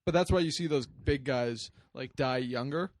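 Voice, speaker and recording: A young man co-hosting a podcast, talking casually in a monotone, flat delivery. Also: mid-range voice, normal speed, no room sound, studio quality. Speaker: podcast co-host. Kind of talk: casual chat.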